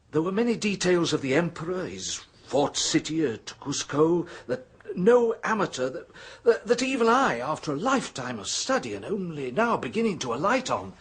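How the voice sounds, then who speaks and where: An actor playing a scholarly male history professor in a radio drama, hesitant at first and then rising to hushed intensity. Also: on the high side, husky, heavily acted. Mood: intense. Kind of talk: 1970s radio drama acting.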